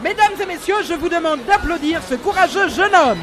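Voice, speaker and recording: A man's voice: very high-pitched, expressive, brisk. An actor playing a showy male circus ringmaster in an audio drama, announcing grandly in a projected, theatrical style. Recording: music behind the announcer.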